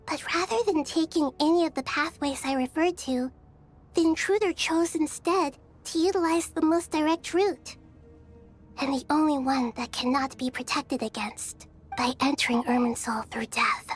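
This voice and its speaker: A woman voicing a gentle, wise, childlike deity in a video game, soft and youthful, speaking formally and earnestly. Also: sits high, fairly even intonation, normal speed, close to an everyday voice. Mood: grave, explaining. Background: background music.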